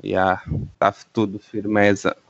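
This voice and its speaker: A man from Angola with an Angolan Portuguese accent, a guest chatting casually and hesitantly, filling pauses with fillers and tag questions, on a dull lo-fi remote line. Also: fairly low pitch, completely flat delivery, creaky, fairly bright tone, no echo. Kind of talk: casual interview chat.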